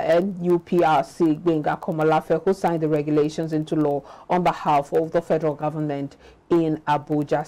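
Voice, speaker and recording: A young Nigerian woman with a Nigerian accent, presenting business news in a studio in a measured way. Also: fairly low pitch, dark tone, low-quality recording.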